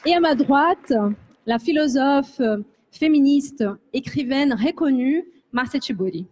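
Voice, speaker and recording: High-voiced woman moderating a panel. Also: noisy background. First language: Portuguese. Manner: measured, formal introductions.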